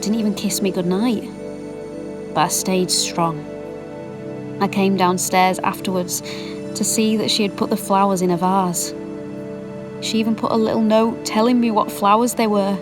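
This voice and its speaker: A woman's voice: mid-range voice, steady tone, moderate pace, long pauses, natural voice. A woman with a British accent playing a wistful, proud mother who confides her memories in an audio drama monologue. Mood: tender, regretful. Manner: confiding, colloquial storytelling. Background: music.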